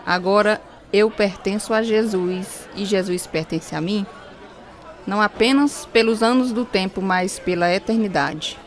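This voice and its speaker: A woman's voice: medium pitch, unhurried, some chatter behind, lightly acted. A woman playing a joyful, devout young choir singer in radio drama dialogue.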